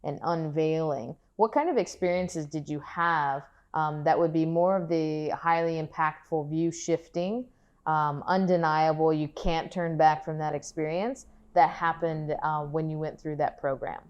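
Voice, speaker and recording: A woman hosting an interview, reading a scripted intro and asking gentle questions with soft, encouraging acknowledgements. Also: low-pitched, fairly even intonation, measured pace, clean tone, lo-fi sound. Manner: soft, encouraging listener with many small acknowledgements. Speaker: podcast host and interviewer.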